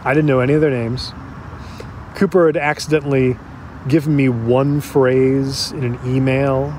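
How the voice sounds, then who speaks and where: Actor as halting, regretful man over street noise. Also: mid-range voice, darkish tone, lightly acted. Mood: reflective, frank. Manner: halting, reflective, conversational. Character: regretful man confiding in a documentary interviewer. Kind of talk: audio drama, naturalistic interview testimony.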